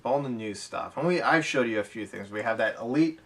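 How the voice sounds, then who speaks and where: A man co-hosting a hobby podcast, recorded some way off from the microphone. He talks casually and off the cuff, explaining as he goes in loose, run-on sentences, at a middling pitch. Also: lively intonation, brisk, fairly bright tone. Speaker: co-host of an airsoft podcast. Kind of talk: casual unscripted chat. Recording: voice distant from the microphone.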